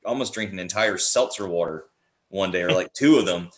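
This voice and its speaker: A man with a fairly low voice chats casually as a podcast guest in an echoey room. He explains in an animated, demonstrative way, like a writer walking through his process. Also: a little fast. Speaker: guest playwright and actor. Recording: roomy, echoey sound.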